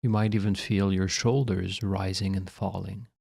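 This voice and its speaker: A man leading a guided meditation as a guide, speaking in a calm, measured, instructional way with long pauses. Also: deep voice, gravelly, no room sound, clean studio sound.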